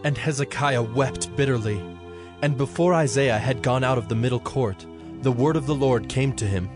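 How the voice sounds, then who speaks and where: Male narrator for audio scripture drama: medium pitch, formal scripted delivery, prominent background music. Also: moderate pace.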